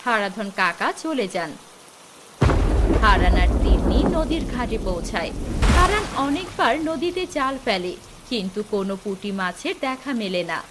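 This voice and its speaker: A woman's voice: medium pitch, very animated, normal speed, noisy background, natural voice. A woman reading scripted storybook narration for a cartoon folk tale.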